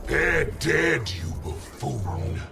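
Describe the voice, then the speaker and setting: An actor playing a brutal, bullying warlord emperor in a video game, with a gravelly voice and slow, threatening delivery. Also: male voice, mid-range voice, wildly swooping pitch, echoey room, over music, heavily acted. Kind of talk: video game villain acting.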